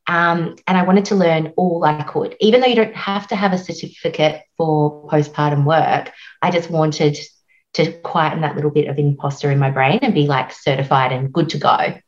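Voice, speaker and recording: An Australian woman in her thirties talks openly and chattily, full of "like" and "um". Her voice sits fairly low, and the recording sounds a bit dull and lo-fi. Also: fairly even intonation, normal speed. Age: 30s. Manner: warm, chatty, frequent "like" and "um". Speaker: guest, postpartum doula. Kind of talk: casual interview storytelling.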